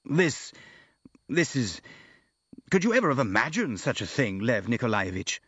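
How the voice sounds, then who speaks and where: A man's voice: medium pitch, very animated, a bit bright, slightly rough, no room sound, highs cut off. A man voicing a flustered middle-aged general in an audiobook, a bewildered, fretting father who halts and repeats himself. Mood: agitated, bewildered. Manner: stammering, repetitive, halting.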